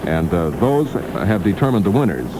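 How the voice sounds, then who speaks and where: Male American radio feature reporter, wry and animated, on a noisy vintage aircheck. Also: low voice, moderate pace. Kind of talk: light feature news report and banter.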